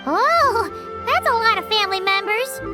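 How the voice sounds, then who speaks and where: A woman voicing a tiny, bubbly, cheeky childlike fairy companion with a squeaky voice in a video game. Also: animated, measured pace, music in the background. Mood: curious, chatty. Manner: exclamatory, refers to self by name.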